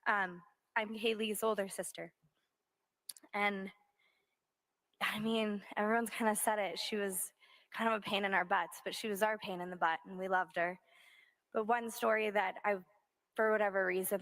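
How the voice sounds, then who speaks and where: A woman, the older sister, speaking loudly at a memorial, telling a fond story with laughs. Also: medium pitch.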